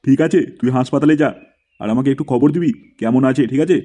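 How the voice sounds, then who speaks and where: A man's voice: mid-range voice, fairly quick, mellow, close to an everyday voice. A man voicing a kindly, trusting middle-aged father in a comedy cartoon.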